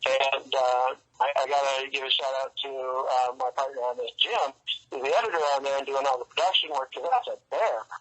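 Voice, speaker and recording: A man speaking casually as an interview guest, explaining things in a halting, rambling way over a telephone line. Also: mid-range voice, a bit bright. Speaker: interview guest, comics writer and fan club organizer.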